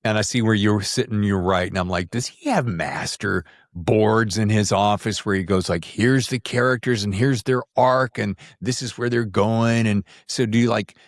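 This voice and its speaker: A male podcast host speaks in a fairly low voice, recorded close and dry. He is informal and chatty, phrasing questions effusively in a conversational interview style. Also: moderate pace, hardly any pauses, no echo.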